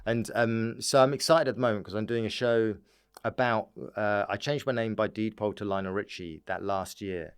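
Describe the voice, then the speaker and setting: A man's voice: lowish voice, steady tone, moderate pace, clear, resonant tone, dry recording, clean studio sound. A man telling comic stories as a guest in a casual podcast chat, laughing as he talks. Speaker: podcast guest, stand-up comedian.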